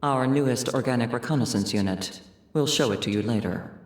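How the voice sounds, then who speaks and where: An actor playing a matter-of-fact official in a game cutscene, instructing in a clinical manner. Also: male voice, mid-range voice, brisk, natural voice. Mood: assured. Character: matter-of-fact male official briefing an agent. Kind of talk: dramatic game cutscene acting. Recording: roomy echo on the voice.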